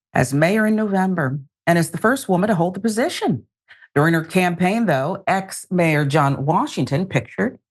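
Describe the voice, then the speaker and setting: A woman hosting a news show, reading reports and commenting in an emphatic, deliberate way with pointed asides. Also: medium pitch.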